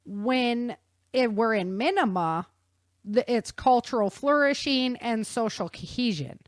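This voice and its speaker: A woman's voice: on the high side, a little slow, no echo, studio quality. A woman hosting a podcast, explaining things casually in an informal, nerdy-enthusiast way. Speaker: podcast host.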